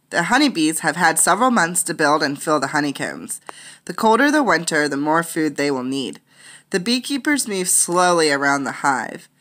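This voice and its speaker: Low-pitched woman reading aloud to children. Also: a bit bright. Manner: explanatory, pointing things out to young listeners. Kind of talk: interactive read-aloud of a children's nonfiction book with explanatory asides.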